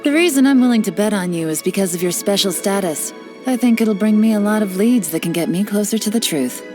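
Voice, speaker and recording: An actress playing a cool, cunning female spy and information broker, speaking with confident, sly, measured delivery in game voice lines. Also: mid-range voice, lively intonation, a little mellow, soft background music, lightly acted. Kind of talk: scripted character voice lines.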